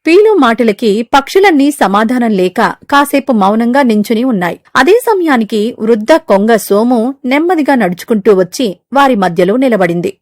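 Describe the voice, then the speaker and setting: A woman narrates a children's moral tale in a smooth, descriptive style, her voice sitting slightly high. The recording is close and dry. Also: very animated, fairly quick, hardly any pauses, no echo. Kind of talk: scripted storybook narration.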